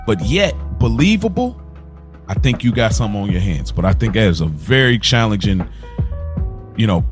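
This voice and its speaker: A man with a fairly low voice talks loosely on a podcast, working his thoughts out as he goes and often restarting mid-sentence. Faint music sits under the conversation. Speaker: podcast co-host. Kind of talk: casual chat.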